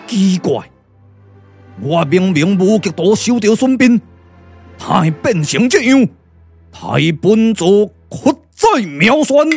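A man's voice: high voice, very animated, vocal fry, darkish tone, soft background music, exaggerated voice. A man playing a proud, hot-tempered old immortal sage in a Taiwanese puppet radio drama, declaiming theatrically with a Taiwanese accent. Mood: baffled, angry. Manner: theatrical, boastful, drawn-out declamation.

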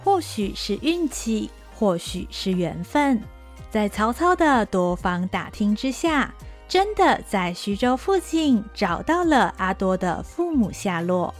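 A woman narrating an audio drama story in a gentle, expressive way. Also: mid-range voice, takes it slowly, soft background music.

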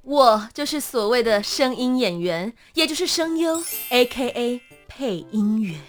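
音調高、起伏大的台灣女聲. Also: female voice, fairly high pitch, animated, a little slow, faint music behind. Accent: Taiwanese Mandarin. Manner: theatrical, playful. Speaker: guest, voice actor. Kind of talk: lively interview chat.

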